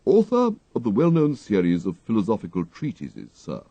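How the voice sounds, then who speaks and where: A man voicing a deferential, unflappable English valet in a storybook, speaking in formal, ornate, measured phrases. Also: lowish voice, wildly swooping pitch, dark tone, husky, no echo, muffled recording, voice pushed up, full character voice. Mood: politely knowing. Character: dignified English gentleman's valet, deferential, unflappable, dryly clever.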